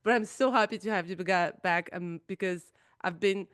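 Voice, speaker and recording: A woman's voice: lowish voice, brisk, clean tone, dry recording, studio quality. A woman co-hosting a podcast, chatting in an effusive way with frequent restarts. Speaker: podcast co-host. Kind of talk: casual chat.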